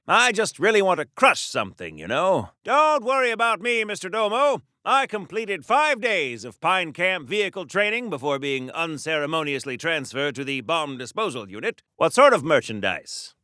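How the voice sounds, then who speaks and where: A male Golden Age superhero for a video game, American, earnest and wisecracking. His high, bright voice swings dramatically up and down like an old radio serial hero's. He is folksy and courteous even when he is being hammy. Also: no echo, studio quality, exaggerated voice. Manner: hammy, old-fashioned polite, folksy exclamations. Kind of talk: 1940s radio-hero style video game acting.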